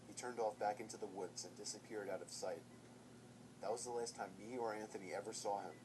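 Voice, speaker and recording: A man's voice: on the low side, steady tone, a little fast. Man narrating a horror story over noise. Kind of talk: scripted first-person horror narration.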